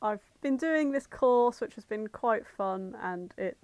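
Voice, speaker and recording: A woman's voice: on the high side, animated, measured pace, a little mellow, no room sound. A woman co-hosting a podcast, chatting in a casual, informal way. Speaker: podcast co-host.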